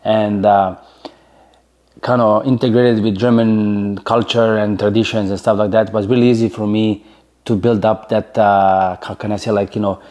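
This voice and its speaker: A man in his forties speaks English with a Slovenian accent in a fairly low voice. He talks loosely and chattily in an interview, with fillers and frequent tag questions. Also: level tone, normal speed, darkish tone. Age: 40s. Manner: chatty, many fillers, keeps asking "right?". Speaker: interview guest, former soccer player. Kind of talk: casual interview storytelling.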